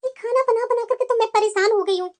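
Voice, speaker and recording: A woman's voice: very high-pitched, fast talker, darkish tone, faint music behind. A woman voicing a whiny, bossy married daughter in a moral-story cartoon, complaining and emphatic. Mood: grumbling. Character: whiny, bossy grown daughter, sharp-tongued wife.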